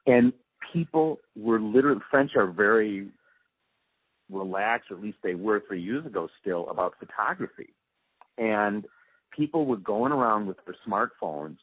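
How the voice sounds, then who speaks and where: Low husky older man on phone. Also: expressive, a little slow, no echo. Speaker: interview guest, audio post-production supervisor. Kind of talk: casual phone interview chat. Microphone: phone or call line. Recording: tinny, dry phone-like line.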